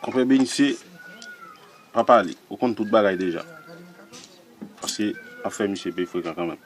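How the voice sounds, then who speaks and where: A man voicing a gruff, dismissive older man who brushes things off curtly. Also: on the low side, normal speed, pitched below the performer's own voice, close to an everyday voice.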